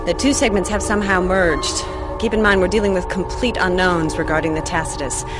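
An actress playing a serious, brisk scientist in middle age, speaking earnestly and articulately in a game cutscene. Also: female voice, fairly low pitch, steady tone, natural voice. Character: serious, brisk female military research scientist doctor. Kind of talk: dramatic briefing in game cutscene. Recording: music behind the voice.

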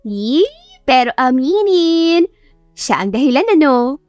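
Playful young female teacher voiced by a woman, bubbly tease, high-pitched, audiobook romance. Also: big pitch swings, takes it slowly, a little mellow, no room sound, soft background music, full character voice. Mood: teasing. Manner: teasing, exclamatory, playful. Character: teasing, giggly young woman teacher, playful best friend.

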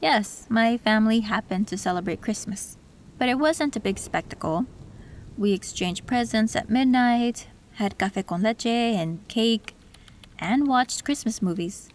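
A woman playing a soft-spoken, wistful tech girl. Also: on the high side, unhurried, a little hiss or hum, lightly acted. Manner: soft, soothing, reflective with small laughs. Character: gentle young woman tech fixer, wistful. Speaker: voice actor. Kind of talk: audio roleplay.

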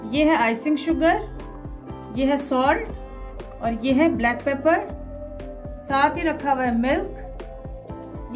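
A woman presents a home recipe step by step, conversational and instructional, mixing in English words. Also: high-pitched. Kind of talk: step-by-step cooking tutorial voice-over.

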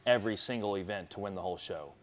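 A man's voice: low-pitched, very fast. A young man answering interview questions in a plainspoken, eager way. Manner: eager, plainspoken, listing things off. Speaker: strongman competitor, guest.

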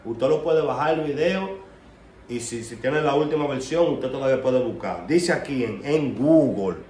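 A young Dominican-accented man talks to the camera in a roomy, echoing space at home, with faint noise behind him. His voice sits a bit high for a man. He is emphatic and confrontational, speaking in street slang like an online commentator with strong opinions. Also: expressive, normal speed, a little mellow. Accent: Dominican Spanish. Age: young adult. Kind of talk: opinionated casual rant to camera. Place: small room at home, indoors.

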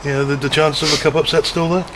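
A man asks post-match questions quickly, tripping over his words and restarting them. His tone stays flat throughout. Background noise is clearly audible. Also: a little high. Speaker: club media interviewer.

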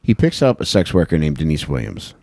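A man's voice: extremely low pitch, very animated, a little fast, darkish tone, no echo. A man in his thirties chatting casually and bluntly as a comedic true-crime podcast co-host, swearing freely, close on a handheld mic. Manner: blunt, profane. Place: small indoor podcast room on couches. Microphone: handheld microphone held close.

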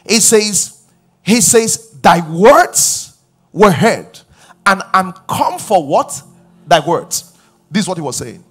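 A pastor in his forties preaching a sermon on a large church stage before a live audience, his voice rising loud on key points as he questions the crowd. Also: sits high, very animated, takes it slowly, breathy edge, soft background music.

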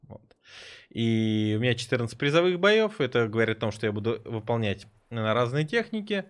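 A man streaming a game live, chatting with viewers in a rambling way and reacting loudly to the action. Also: mid-range voice, fairly quick. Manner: excitable, rambling, swears, jumps between chatting and reacting to the game. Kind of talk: live gameplay commentary and chat.

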